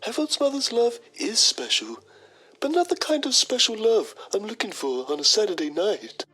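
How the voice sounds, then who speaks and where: A woman's voice: mid-range voice, big pitch swings, moderate pace, fairly bright tone, husky, faint music behind. A woman voicing a pompous, lecherous male journalist who narrates a mock documentary in a game with self-important drama. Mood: smug, leering.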